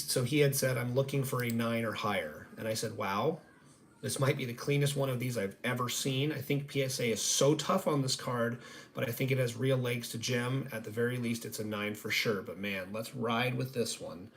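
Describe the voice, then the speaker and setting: A man with a slightly nasal voice, hosting a casual live-stream card reveal in a conversational, self-deprecating way. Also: medium pitch. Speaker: sports card grading reveal host. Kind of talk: casual live-stream commentary on trading cards. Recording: noticeable steady background noise.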